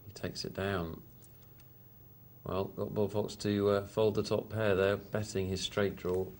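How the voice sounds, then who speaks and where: A man's voice: fairly low pitch, flat delivery, measured pace, darkish tone, slight background noise. A man hosting a live poker show, commenting on hands in a chatty, hesitant way with lots of fillers. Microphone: studio mic.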